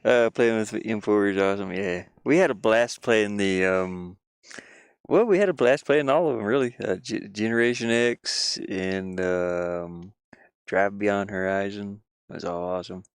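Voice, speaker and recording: A man with a fry-laden voice talks at an unhurried pace on a live stream. He rambles casually and mutters to himself while he works. The sound is close and dry, as from a streaming mic. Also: fairly low pitch, no room sound.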